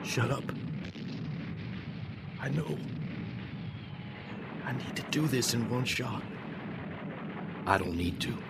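Guy acting a gritty young american gi in a war radio play, voice swings all over, loud battle and engine noise behind. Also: mid-range voice, animated, slow pace, creaky, long pauses. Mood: grim, anguished. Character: young American WW2 infantryman, gritty, shaken but bold.

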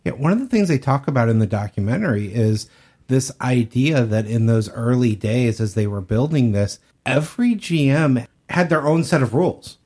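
A man's voice: medium pitch, a little mellow. A man co-hosting a podcast in casual, conversational discussion. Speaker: podcast co-host.

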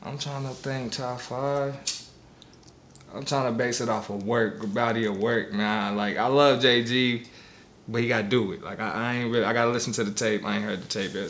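A man's voice: fairly low pitch, steady tone, normal speed, gravelly, a bit bright, slight background noise. A man from Connecticut, raised in Charlotte, talking loosely and emphatically as a podcast co-host. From born in Connecticut, raised in Charlotte. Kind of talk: casual chat.

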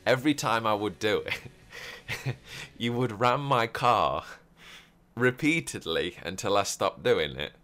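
A man's voice: fairly low pitch, expressive, slow pace, bright, forceful tone. A man hosting a roleplay podcast, chatting casually and rambling with many fillers and restarts. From Europe. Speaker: podcast host.

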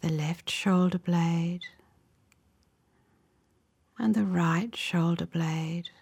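A woman leading a guided relaxation meditation in a soft, breathy voice, giving gentle, soothing instructions in short, measured phrases. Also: fairly low pitch, steady tone. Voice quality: soft, breathy, often near a whisper.

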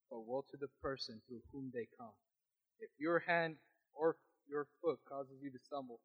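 A man with a small, quiet voice reading scripture aloud in a Bible study, steady with occasional restarts. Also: medium pitch, husky. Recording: dull lo-fi recording.

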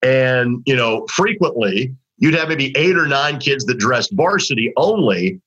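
A man talks high school basketball as a show host, rambling conversationally through restarts and fillers. His voice is forceful yet husky and a little raspy from overuse. The audio is dull and lo-fi. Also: lively intonation, a little slow. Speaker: sports broadcaster hosting a high school basketball talk segment. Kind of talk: casual sports talk.

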